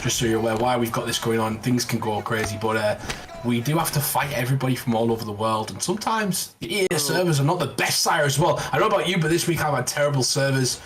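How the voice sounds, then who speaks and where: Fast-talking male co-commentator. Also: mid-range voice, background noise. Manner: fast, animated exclamations. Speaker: esports co-commentator. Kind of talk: live game commentary.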